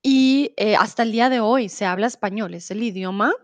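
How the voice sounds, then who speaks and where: A woman's voice: a little high, normal speed, no room sound. Chatty Colombian woman teaching Spanish. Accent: Bogotá Colombian, with a little Mexican. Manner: chatty, reads out chat messages, switches to English and German. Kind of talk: live online language class.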